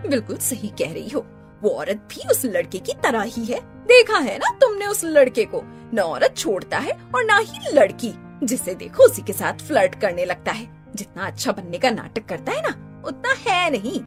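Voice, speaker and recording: A woman's voice: fairly high pitch, wildly swooping pitch, normal speed, faint music behind. A woman voicing disapproving, gossipy neighbour women in a cartoon story. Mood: scornful gossip.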